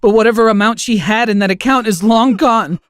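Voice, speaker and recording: A man's voice: a little fast, a bit bright. A man voicing a tearful teenage boy pleading for forgiveness. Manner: pleading, tearful, high-strung.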